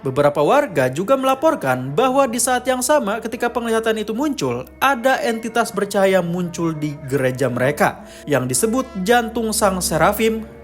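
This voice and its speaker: A man's voice: sits high, very animated, moderate pace, soft background music. A man narrating a scripted explainer of a horror story. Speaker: narrator of a film lore channel.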